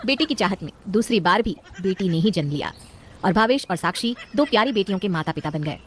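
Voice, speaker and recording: A woman's voice: mid-range voice, expressive, a little fast, a little hiss or hum. A woman narrating a scripted cartoon family story as its storyteller.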